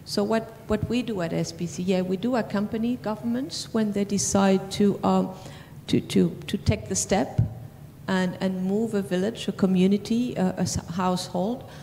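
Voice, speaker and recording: A woman with a French accent answering as a panelist at a conference, measured and full of ums and restarts. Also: mid-range voice, fairly even intonation, creaky, warm tone. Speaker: panelist from a regional organization. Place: large conference hall. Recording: distant hall reverb, faint noise.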